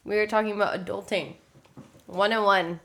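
A woman's voice: mid-range voice, steady tone, moderate pace, fairly bright tone. Young American woman chatting casually. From Texas, United States. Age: 20s. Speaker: podcast co-host. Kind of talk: casual chat.

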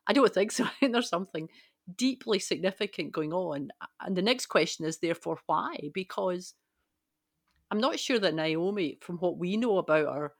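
A woman's voice: fairly low pitch, expressive, moderate pace, no room sound. Woman thinking aloud emphatically, dry close sound. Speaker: podcast panellist. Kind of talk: conversational Bible discussion.

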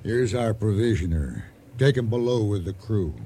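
Low, mellow, quietly menacing seaman. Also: lively intonation, normal speed. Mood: smooth, quietly menacing. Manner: terse, assured, smoothly courteous. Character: calm, commanding, quietly menacing seafaring schemer. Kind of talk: radio drama dialogue. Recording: radio drama with faint background noise.

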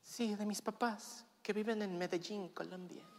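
A woman's voice: mid-range voice, fairly even intonation, moderate pace, close to an everyday voice. A woman playing a devoted young wife from Colombia in an improvised comedy scene, talking earnestly and pleading with her husband. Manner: earnest, pleading, breaks into laughter. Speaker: improv performer. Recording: long hall reverb.

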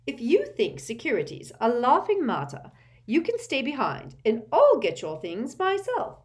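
A woman voicing an anxious middle-aged mother who snaps into sharp scolding. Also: on the high side, big pitch swings, measured pace, pitched above the performer's own voice. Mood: angry.

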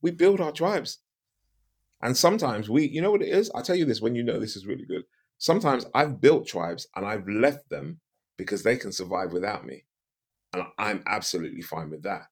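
A man's voice: lowish voice, expressive, moderate pace, breathy edge. A middle-aged man from the UK, a podcast guest and coach, giving emphatic advice with a big voice, repeating his key phrases and asking rhetorical questions.

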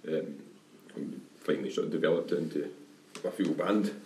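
A man who sings and writes songs in a band, telling its story at a steady pace in an interview. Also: rumbling low, moderate pace, creaky, a little hiss or hum. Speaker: singer and songwriter. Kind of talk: casual interview chat. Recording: echoey room, away from the mic.

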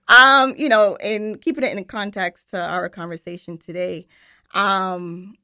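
Bright-voiced woman from Barbados, chatty. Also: medium pitch, fairly even intonation, unhurried, no echo, studio quality. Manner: chatty, laughs often, many ums. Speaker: guest, marketing director. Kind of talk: radio interview. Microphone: studio microphone.

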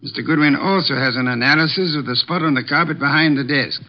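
An actor playing an imperious older male genius detective, speaking in a pompous, deliberate manner with clipped commands, in 1950s radio drama style. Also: on the high side, close to an everyday voice. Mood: coolly deductive. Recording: dull lo-fi 1950 radio transcription.

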